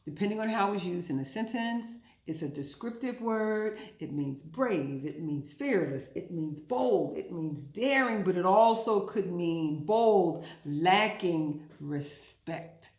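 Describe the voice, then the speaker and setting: A woman leads a children's storytime lesson with very lively, swooping intonation. She takes her time and stresses her words like a teacher. The room around her voice is clearly echoey. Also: on the low side. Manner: emphatic, deliberate teacherly delivery with repetition and questions to listeners. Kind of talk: interactive children's read-aloud and vocabulary lesson. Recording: roomy, echoing indoor recording.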